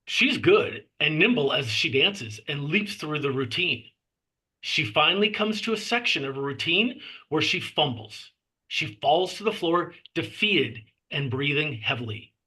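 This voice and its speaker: Guy reading script directions, highish raspy voice, measured, clipped on the headings. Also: expressive, pushed and bright, poor audio. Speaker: stage-direction narrator. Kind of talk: scripted narration of a screenplay.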